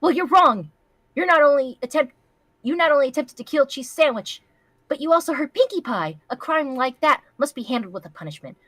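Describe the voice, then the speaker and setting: A woman's voice: high voice, lively intonation, brisk, no echo, voice pushed up. A woman voicing a stern pony authority figure, scolding and admonishing as punishment is handed out. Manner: forceful, admonishing.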